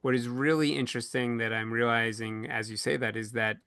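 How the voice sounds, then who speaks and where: A man's voice: mid-range voice, little pitch movement, fairly quick, vocal fry, sharp tone, clear, resonant tone, no room sound, clean studio sound. A man hosting a casual panel discussion, feeling his way through his phrasing as he talks. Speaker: podcast host moderating a panel.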